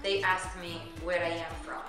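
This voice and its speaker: A woman's voice: lowish voice, little pitch movement, normal speed. A middle-aged woman from Argentina with an Argentine Spanish accent, a native Spanish speaker talking chattily in a casual oral history interview, often saying "you know". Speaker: immigrant interviewee. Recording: very echoey room with music behind.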